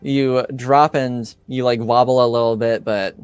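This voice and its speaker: A man running a tabletop roleplaying game as game master, narrating haltingly with frequent pauses mid-sentence. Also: medium pitch, fairly even intonation, clean tone, no room sound. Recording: music playing behind. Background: background music.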